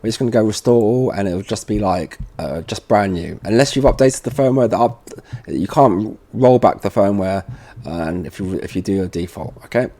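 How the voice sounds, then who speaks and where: A man giving an informal software tutorial, thinking aloud as he works at a computer. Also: on the low side, normal speed, hardly any pauses. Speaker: tutorial presenter for a security products seller. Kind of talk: casual software walkthrough narration.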